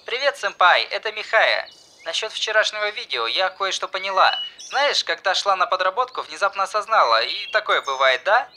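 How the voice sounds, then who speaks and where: A man voicing a chatty, naive schoolgirl in an audiobook, with a light, soft falsetto and hesitant manner. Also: big pitch swings, normal speed, slight background noise, full character voice. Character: chatty, naive schoolgirl, earnest junior friend.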